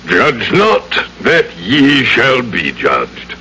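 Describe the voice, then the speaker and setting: An actor playing a proud, stern gentleman in an old-time radio drama, with formal, theatrical speech. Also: mid-range voice, huge pitch swings, very slow, exaggerated voice. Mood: smooth, pompous. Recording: noisy old radio recording.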